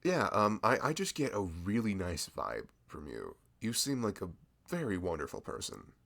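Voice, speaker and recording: Guy doing a shy sweet flower shop boy, low creaky voice, apologizes a lot, chatty roleplay audio. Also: sing-song, normal speed, a bit bright, exaggerated voice. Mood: nervous, earnest. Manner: rambling, self-deprecating, apologetic, flustered. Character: sweet, bashful, chatty young male florist with a crush. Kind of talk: casual ASMR-style audio roleplay spoken to the listener.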